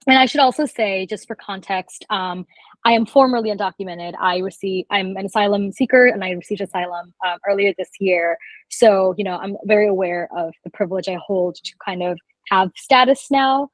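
Young woman, earnest and reflective, medium pitch, dry room. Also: fairly quick, dry recording, rough recording. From Bangladesh. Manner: thoughtful, earnest storytelling. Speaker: guest, immigrant rights advocate. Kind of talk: casual interview.

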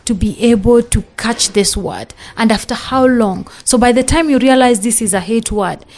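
A woman's voice: fairly high pitch, normal speed, darkish tone. A woman from Kenya with a Kenyan English accent and Swahili as her first language, speaking earnestly as an interview guest, with many restarts and "you know" fillers. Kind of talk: podcast interview.

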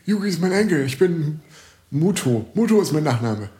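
A man's voice: fairly high pitch, big pitch swings, moderate pace, mellow, pitched above the performer's own voice. A man voicing a frail, bewildered old grandfather in an improvised comedy sketch, asking plaintive questions. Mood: confused. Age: older. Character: frail confused old grandfather in a hospital bed.

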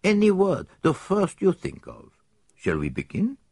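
A man's voice: high voice, wildly swooping pitch, a little slow, creaky, darkish tone, breathy edge, no echo, clean studio sound, pitched above the performer's own voice, full character voice. A man voicing a shrewd, fussy Belgian male detective, speaking precisely and coaxingly, dropping in "eh?" and bits of French. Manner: precise, coaxing, tag questions like "eh?" and French phrases.

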